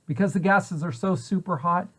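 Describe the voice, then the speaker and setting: A man's voice: high voice, normal speed, a bit bright. A man reading a children's picture book aloud, posing questions and answers in a storytelling way for young listeners. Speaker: preschool site director reading aloud.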